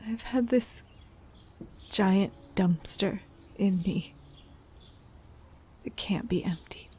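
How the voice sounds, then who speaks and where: A woman acting as a frightened, haunted middle-aged character in an audio drama, hesitant and breathy. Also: medium pitch, extremely slow, darkish tone, exaggerated voice. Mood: scared, despairing. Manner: hesitant, anxious.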